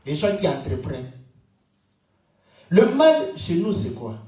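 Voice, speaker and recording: A man from Congo preaching a sermon, swinging from loud exclamations to quiet asides, asking the congregation rhetorical questions and laughing often. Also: measured pace, far from the mic. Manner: animated, swings between loud high exclamations and low murmured asides. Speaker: pastor. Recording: very echoey room, speaker away from mic.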